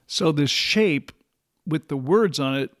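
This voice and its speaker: A man's voice: fairly high pitch, animated, slow. A middle-aged or older American man co-hosting a casual, nostalgic talk show with a bantering, conversational manner. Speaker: podcast co-host.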